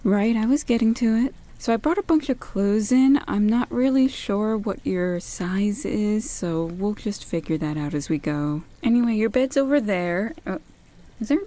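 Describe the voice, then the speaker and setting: A woman's voice: mid-range voice, expressive, normal speed, dark tone, natural voice. A woman playing a flippant, chatty maid in a vampire household for an ASMR roleplay, casual and offhand.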